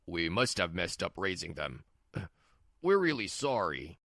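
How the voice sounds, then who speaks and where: A woman's voice: extremely low pitch, very animated, a little slow, a bit bright, dry recording, clean studio sound, voice pushed down, heavily acted. A woman voicing a stern older father-in-law who scolds firmly in a manga story dub. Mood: apologetic. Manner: scolding, firm.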